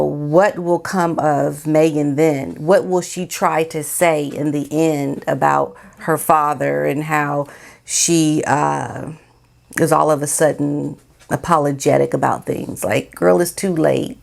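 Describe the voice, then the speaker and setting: A woman's voice: low voice, gravelly. An American woman giving informal opinion commentary as a vlogger, speaking in a sassy, emphatic, colloquial way.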